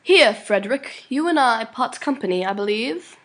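A man voicing a brisk, genteel older woman, a captain's wife, in an audiobook. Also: very high-pitched, lively intonation, a bit bright, pitched above the performer's own voice, heavily acted. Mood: polite. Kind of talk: audiobook character voice.

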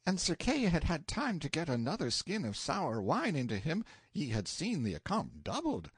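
A man's voice: fairly high pitch, huge pitch swings, moderate pace, husky, no room sound, pitched above the performer's own voice, full character voice. A man voicing a weary, mocking young page boy in an audiobook, sighing and complaining theatrically in archaic English. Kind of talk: audiobook character voice.

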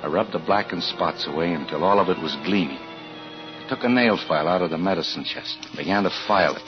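An actor playing a suspicious, uneasy husband and father who narrates in a tense, halting, deliberate way in 1940s radio style. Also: deep voice, close to an everyday voice. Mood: brooding, tense. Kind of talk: 1940s radio acting with first-person narration. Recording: dull vintage 1940s radio with music.

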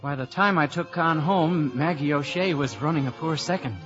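A man's voice: a little high, moderate pace, natural voice. An actor playing a plain-talking, earnest American detective who narrates his own story in a radio drama. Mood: wry narration. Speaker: actor in leading part and first-person narrator. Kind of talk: 1950s radio drama acting. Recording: muffled vintage radio broadcast with music.

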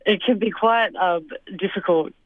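A young woman from Australia answering interview questions in a thoughtful, hesitant way, with fillers and soft laughs. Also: mid-range voice, moderate pace, no echo. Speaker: interview guest. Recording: dry, phone-like line.

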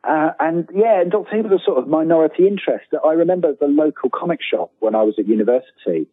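A man's voice: a little high, animated, quick pace, no room sound. A middle-aged man talking casually as an interview guest, rambling, self-deprecating and enthusiastic, over a thin phone-like line.

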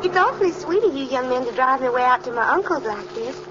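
A woman's voice: high-pitched, animated, fast. An actress playing a sweet, coy young woman in an old radio crime drama. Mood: sweet, chatty. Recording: muffled, noisy old radio recording.

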